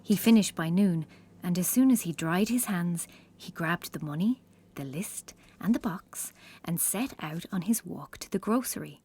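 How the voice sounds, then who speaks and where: A woman's voice: on the low side, a little mellow, husky. A woman reading scripted narration for a children's story as its narrator.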